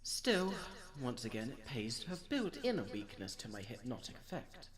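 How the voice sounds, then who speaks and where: A man voicing a pompous, scheming villain doctor in a comic dub, speaking formally and deliberately with theatrical diction. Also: mid-range voice, very animated, natural voice. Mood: controlling, sly.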